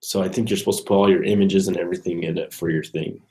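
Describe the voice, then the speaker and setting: A man's voice: low-pitched, fairly even intonation, very fast, mellow. A man casually walking through code on screen like a programming student, thinking aloud in a hesitant, unsure way.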